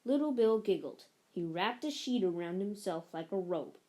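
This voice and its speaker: A woman reading a children's picture book aloud, narrating in an expressive, measured storytelling manner. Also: medium pitch. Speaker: story reader.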